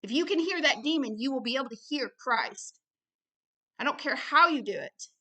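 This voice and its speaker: Emphatic, bright-voiced woman preaching. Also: high-pitched, animated, moderate pace, poor audio. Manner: emphatic, blunt, repeats phrases for stress. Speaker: ministry founder and host reading and commenting on a book.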